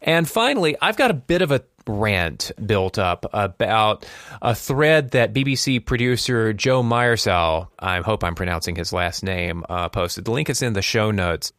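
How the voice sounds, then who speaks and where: A man's voice: fairly low pitch, animated, measured pace, fairly bright tone, no echo, studio quality. A man hosting a podcast, talking through news in a chatty, explanatory way and putting questions to a co-host. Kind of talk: conversational news commentary.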